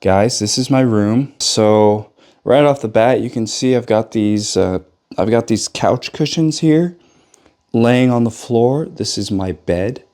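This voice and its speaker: Young male car vlogger, slightly sick and hoarse, loose joking delivery, handheld camera. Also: mid-range voice, slow pace, mellow. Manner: loose and joking, addresses viewers as guys. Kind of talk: casual vlog chat. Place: home bedroom, then cold outdoor garage.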